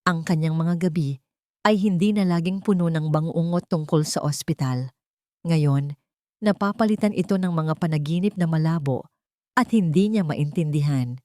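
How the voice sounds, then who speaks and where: A woman reading a scripted dramatic story as narrator, pausing between short phrases. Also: deep voice, dark tone, no echo, clean studio sound. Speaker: narrator of audio love stories.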